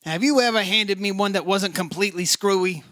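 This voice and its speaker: A man's voice: high voice, brisk, pushed and bright, no echo. A man playing a wry, breezy insurance investigator in 1950s-style radio detective drama, dry and wisecracking. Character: wry, breezy male insurance investigator, hard-boiled but kindly.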